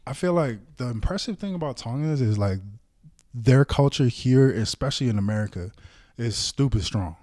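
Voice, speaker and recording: A man's voice: medium pitch, expressive, normal speed, a little mellow, no room sound, studio quality. A young man who grew up in Samoa, a podcast guest talking in a thoughtful, laid-back way, with lots of casual fillers. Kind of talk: casual chat.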